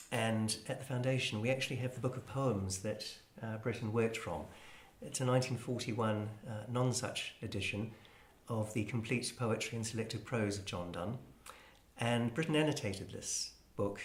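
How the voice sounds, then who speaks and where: A man presenting an explanatory talk on classical music in a measured, hesitant, scholarly manner. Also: lowish voice. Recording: roomy, echoing space.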